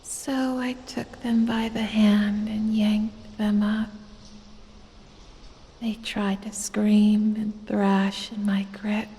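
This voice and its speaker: Woman as a slow, whispering, sinister snake-woman in cavernous reverb. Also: mid-range voice, level tone. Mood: menacing, boastful. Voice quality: breathy, heavily whispered. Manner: drawn-out, softly taunting, falsely sweet, possessive. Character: sinister, sweetly menacing female lamia, a predatory snake-woman. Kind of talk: ASMR audio roleplay, slow whispered monologue.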